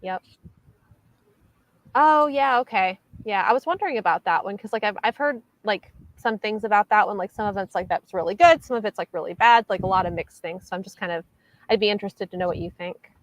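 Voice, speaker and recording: A woman's voice: mid-range voice, fairly quick, no echo, rough recording. A woman chatting casually about books as a livestream co-host, in a hesitant, rambling way sprinkled with "like". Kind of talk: casual book chat.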